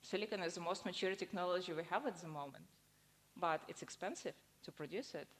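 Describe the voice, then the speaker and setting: A woman's voice: on the low side, brisk. A woman answering audience questions after her talk, explaining firmly with some hesitant starts. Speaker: conference speaker answering questions. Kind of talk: academic Q&A. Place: lecture hall. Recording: lecture hall reverb, faint noise.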